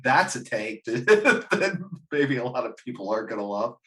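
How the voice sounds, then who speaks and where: Blunt, sweary man with swooping pitch. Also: very animated, normal speed, bright, forceful tone. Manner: blunt, profane ranting. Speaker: podcast panelist. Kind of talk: casual chat, film discussion.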